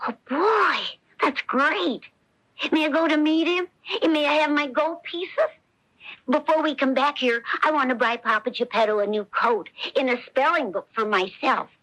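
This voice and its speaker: A woman's voice: sits high, moderate pace, a bit bright, rough recording. A woman playing a naive, fibbing puppet boy in a 1970s radio play, exclamatory and melodramatic. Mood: eager. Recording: 1970s radio broadcast recording.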